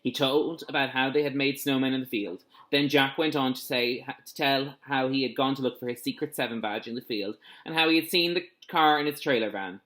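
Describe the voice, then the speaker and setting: A man reading a children's story aloud like a teacher at storytime, in an animated, expressive way. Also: medium pitch, brisk, few pauses.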